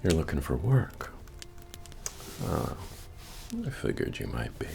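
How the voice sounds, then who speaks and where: A man playing a friendly, humble medieval fantasy innkeeper in a soft-spoken, deep-voiced, understated ASMR roleplay. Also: wildly swooping pitch, takes it slowly, gravelly, noisy background, heavily acted. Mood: easygoing, musing. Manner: hesitant, rambling, understated delivery. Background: bard music.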